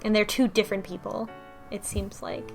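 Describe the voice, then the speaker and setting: A woman's voice: mid-range voice, level tone, normal speed, gravelly. Woman casually recapping a story over music. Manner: conversational, thinking aloud in loose retelling. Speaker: book club podcast host.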